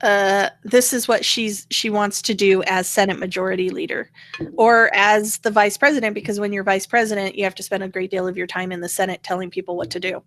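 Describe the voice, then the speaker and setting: An American woman co-hosts a casual political chat with an irreverent, opinionated manner. Her pitch sits in the middle for a woman, and the sound is dry, with very little room in it. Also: steady tone, a little fast, a bit bright, no echo. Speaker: podcast co-host.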